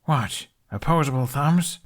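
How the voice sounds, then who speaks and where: A man's voice: mid-range voice, lively intonation, measured pace, voice pushed up, natural voice. A man voicing a self-deprecating young man in an audiobook, downcast, wry and given to mumbling.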